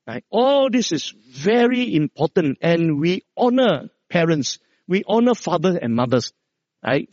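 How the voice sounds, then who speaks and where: High-voiced pastor preaching Christmas. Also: male voice, no room sound. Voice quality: loud voice. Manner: storytelling preacher, drops low to stress key words. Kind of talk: Christmas sermon. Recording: dull, dry lo-fi sound.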